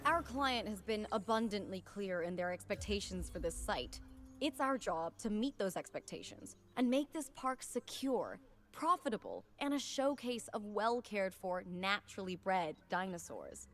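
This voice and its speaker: An actress playing a firm, formal park manager in a video game, speaking in a measured, businesslike way. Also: female voice, mid-range voice, big pitch swings, soft background music, natural voice. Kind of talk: scripted video game dialogue.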